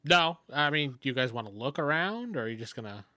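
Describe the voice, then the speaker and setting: A man's voice: medium pitch, very animated, fast talker, bright, forceful tone, dry recording, studio quality. A man running a tabletop role-playing game, narrating and bantering casually. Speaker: game master.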